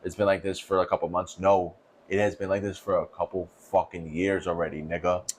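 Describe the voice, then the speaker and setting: A man's voice: low voice, flat delivery, fairly quick, a little hiss or hum. A man in his twenties from New York co-hosting a casual podcast, blunt and profane. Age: 20s. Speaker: podcast co-host.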